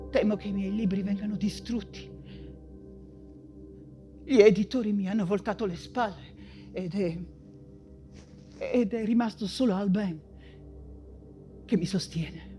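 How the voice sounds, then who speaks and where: An actress playing an anguished woman writer and mother fleeing persecution in a wartime stage monologue, theatrical and halting, with anxious repetitions. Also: mid-range voice, lightly acted. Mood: worried, subdued.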